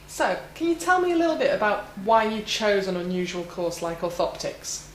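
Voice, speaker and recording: A woman asks short, friendly interview questions in a medium-pitched voice. The room rings with echo and there is faint background noise. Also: very animated, moderate pace.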